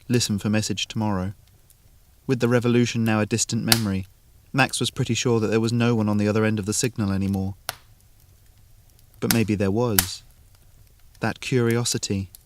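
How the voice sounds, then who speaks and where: A man's voice: lowish voice, noisy background. A man narrating a scripted short story as the storyteller.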